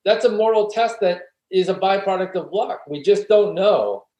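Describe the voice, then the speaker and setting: A man's voice: high voice, moderate pace, dark tone. A man speaking as an academic interview guest, explaining and arguing his points earnestly in a conversational way. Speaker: guest philosophy professor. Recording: roomy, echoey room sound.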